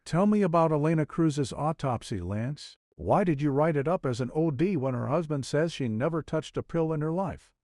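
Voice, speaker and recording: A man's voice: a little high, animated, moderate pace, hardly any pauses, a little mellow, no room sound, clean studio sound, pitched above the performer's own voice, natural voice. A man voicing a tough, menacing ex-cop detective who growls out clipped threats in a noir audiobook. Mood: pressing, demanding.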